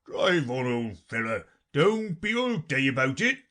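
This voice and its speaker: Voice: medium pitch, big pitch swings, a little slow, fairly bright tone, voice pushed up. A reader voicing the Gryphon, a blunt, scolding male creature who snaps short remarks in a storybook reading. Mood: gruff. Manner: brusque, scolding.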